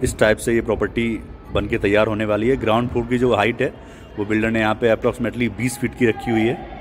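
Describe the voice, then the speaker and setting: A man's voice: lowish voice, flat delivery, a little fast, a little hiss or hum. A man giving a walking property sales tour outdoors at a construction site, explaining things with many English terms mixed in. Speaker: real estate agent.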